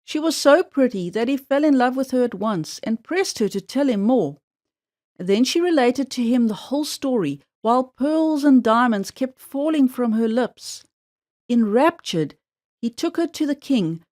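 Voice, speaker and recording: Woman storyteller with very lively, swooping pitch. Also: moderate pace. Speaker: storyteller reading aloud for children. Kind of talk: expressive scripted fairy tale narration.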